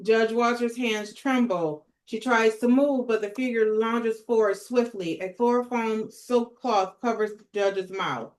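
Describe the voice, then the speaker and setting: A woman's voice: a little high, unhurried, hardly any pauses, a little mellow, rough recording. A woman narrating screenplay stage directions as she leads a table read.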